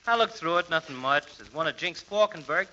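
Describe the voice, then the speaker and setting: An easygoing, garrulous small-town American barber full of opinions. His voice is high and very sharp, in folksy old radio comedy style, on a muffled recording. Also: animated, a little fast, a little hiss or hum. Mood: breezy. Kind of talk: 1940s radio comedy acting.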